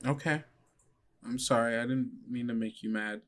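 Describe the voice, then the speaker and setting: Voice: medium pitch, steady tone, normal speed, natural voice. A tabletop player voicing a prim, naive schoolkid with an earnest, hesitant manner. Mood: apologetic.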